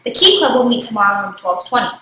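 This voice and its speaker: A woman reading school morning announcements in a measured way. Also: fairly high pitch, lively intonation, pushed and bright, slight background noise. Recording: very echoey room.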